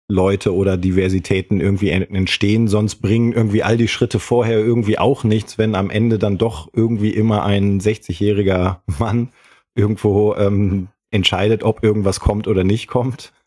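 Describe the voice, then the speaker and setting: A man's voice: lowish voice, dark tone. A man in a reflective, casual podcast discussion, phrasing his points in a hesitant, roundabout way. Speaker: podcast panelist.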